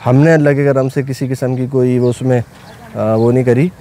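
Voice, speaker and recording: A man's voice: mid-range voice, fairly even intonation, normal speed, dark tone, a little hiss or hum. A young man with a Pakistani Urdu accent, a cattle farm owner chatting casually and enthusiastically from behind the camera outdoors. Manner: enthusiastic, repeats blessings often. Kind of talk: casual vlog narration.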